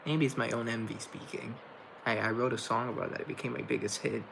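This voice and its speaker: A young man from Colombia hosts a relaxed livestream, rambling as he reads viewer comments. His voice carries a gravelly fry, and steady background noise hums under a laptop mic. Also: mid-range voice, fast, low-quality recording. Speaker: livestream host reading chat. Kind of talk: casual livestream chat.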